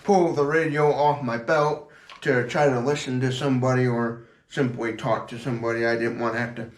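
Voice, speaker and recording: A man's voice: mid-range voice, fairly even intonation, normal speed. A man giving a casual, unscripted product review in a rambling, repetitive, plain-spoken way. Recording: echoey room sound.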